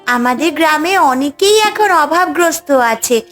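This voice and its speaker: A woman's voice: high-pitched, expressive, measured pace, soft background music. A woman voicing a brave, kind-hearted young village girl in a cartoon story, speaking earnestly and with care. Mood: earnest, gentle.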